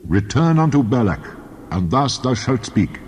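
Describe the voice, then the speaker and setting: A man's voice: mid-range voice, big pitch swings, a little slow, darkish tone, slight background noise. Male divine voice: solemn, commanding, swooping intonation, long cavernous reverb, scripture drama.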